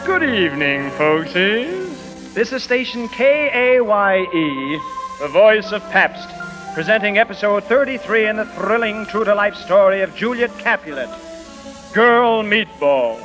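An actor playing a folksy, gushing soap-opera announcer in a 1940s radio advertising parody. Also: male voice, high voice, big pitch swings, unhurried, over music. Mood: mock grand. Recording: hissy, crackly old radio recording.

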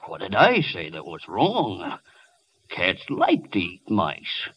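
An actor playing a bewildered, muttering man with a husky voice in an old-time radio play. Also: deep voice, huge pitch swings, slow pace, a bit bright, full character voice. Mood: muttering, puzzled.

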